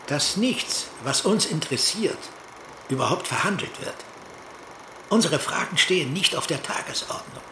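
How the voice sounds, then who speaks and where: A man playing a guarded, earnest East German Stasi colonel in a dramatised dialogue, answering in a clipped, evasive way with a very husky voice. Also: a little high, lively intonation, moderate pace, pushed and bright, reverberant, slight background noise. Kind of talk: dramatised reading of a literary dialogue.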